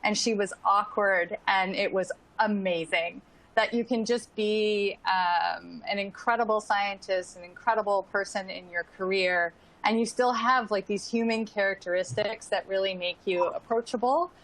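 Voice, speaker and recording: A woman's voice: mid-range voice, moderate pace, fairly bright tone, rough recording. A woman speaking as a panelist in an online discussion, casual and sprinkled with "like" and "um". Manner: casual, frequent "like" and "um".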